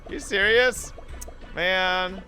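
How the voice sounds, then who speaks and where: A man's voice: high-pitched, extremely slow, bright, forceful tone, faint music behind. A man streaming a game playthrough, reading text aloud and commenting in a crude, joking way.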